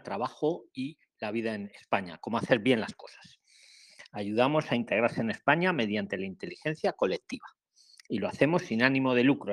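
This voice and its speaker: This is a man from Spain with a Madrid accent, running a live call-in session over an online call. He talks in a friendly, explanatory way, stresses the key words and keeps checking in with his listeners. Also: mid-range voice. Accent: Spanish, Madrid. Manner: friendly, explanatory, emphatic, with frequent "¿vale?". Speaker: podcast host. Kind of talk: live call-in chat, hosting.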